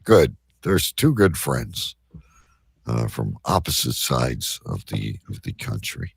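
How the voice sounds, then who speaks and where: A man hosting a casual live show, talking in a rambling, halting way. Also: rumbling low, very animated, gravelly, breathy edge, dry recording, studio quality. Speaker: show host.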